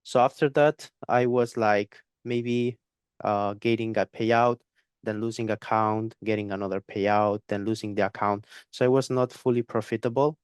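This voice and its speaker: Young male guest, clear resonant tone, dry close sound, halting delivery with fillers. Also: fairly low pitch, fairly even intonation, dry recording. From Spain. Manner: hesitant, repeats words, many fillers. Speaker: guest trader. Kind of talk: interview conversation.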